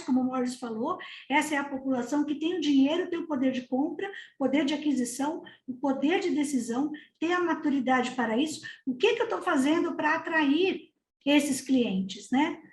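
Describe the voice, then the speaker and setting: A woman's voice: high-pitched, a little fast. A woman speaking earnestly on a panel, asking rhetorical questions. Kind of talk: webinar panel discussion. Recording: echoey room.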